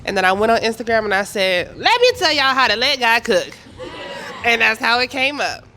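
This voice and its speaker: A woman's voice: on the high side, sing-song, a little fast, sharp tone, a little hiss or hum. A woman faith coach, telling her story in a playful, sassy way. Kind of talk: panel talk.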